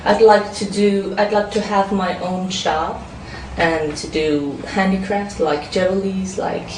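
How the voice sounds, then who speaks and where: A woman's voice: medium pitch, fairly even intonation, measured pace. A woman whose first language is Farsi, answering speaking test questions in a hesitant way with many fillers and restarts. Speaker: exam candidate. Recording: roomy, distant mic, clear background noise.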